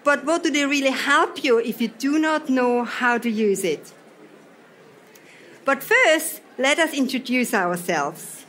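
A woman's voice: high voice, animated, slow, a bit bright, slight background noise. Austrian woman leading a workshop talk. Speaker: seminar trainer. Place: event hall.

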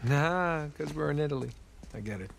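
A man's voice: on the high side, lively intonation, normal speed, vocal fry, background noise. An actor playing a wry, capable treasure hunter in his thirties in a video game, with casual, naturalistic delivery. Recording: music behind the voice.

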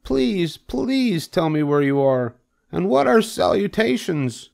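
A man voicing an eager young pig in a children's storybook, earnest, excitable and over-polite. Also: high-pitched, slow, creaky, voice pushed up, full character voice. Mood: bewildered, urgent.

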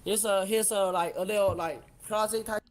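A young man giving a casual house tour on a livestream from his phone, in halting, broken phrases that often repeat words. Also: high voice, steady tone, a little hiss or hum. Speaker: streamer. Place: house rooms, walking with phone.

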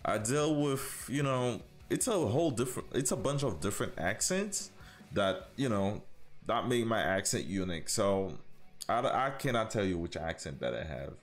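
A man's voice: on the low side, expressive, moderate pace, faint music behind. A man in his thirties from Haiti with a mixed Haitian, Caribbean and American accent, chatting casually and in a friendly way with viewers as he streams a game. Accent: Haitian with Caribbean and American influences. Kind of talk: casual livestream chat with viewers over gameplay.

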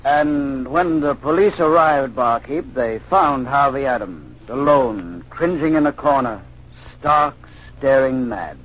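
Cryptic old sea captain, unhurried. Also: male voice, medium pitch, lively intonation, a bit bright, poor audio. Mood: grimly knowing. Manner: measured, cryptic. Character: mysterious old sea captain, grimly knowing storyteller. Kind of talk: 1940s radio acting.

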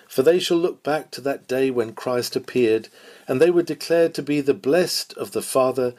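A man with a mid-pitched voice reads an old sermon aloud. His delivery is solemn and oratorical, in the declamatory manner of old-fashioned preaching. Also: a little mellow. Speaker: reader of a historical sermon.

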